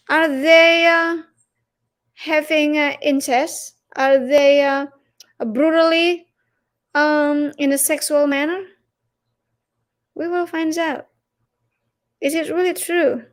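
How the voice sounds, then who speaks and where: A woman with an Indonesian accent presenting a religious lecture, speaking haltingly and emphatically in challenging rhetorical questions. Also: high voice. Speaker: presenter of a religious teaching series.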